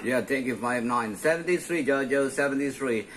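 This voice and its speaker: A man's voice: medium pitch, moderate pace. A man with an Indonesian accent working as a ham radio operator, chatting and repeating his phrases. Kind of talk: ham radio contact chat. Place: indoors at a radio set. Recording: faint hiss beside radio set.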